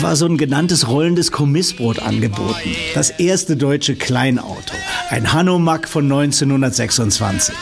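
Creaky-voiced, matter-of-fact thief. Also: male voice, a little high. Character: matter-of-fact male thief giving his account. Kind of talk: German radio play acting.

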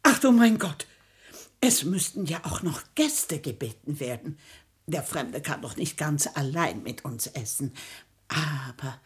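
Husky, fussy old grandmother. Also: deep voice, lively intonation, a little slow, full character voice. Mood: flustered, fussy. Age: older. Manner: pompous, fussy, ceremonious. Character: bustling, self-important old small-town grandmother. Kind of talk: theatrical German radio comedy acting.

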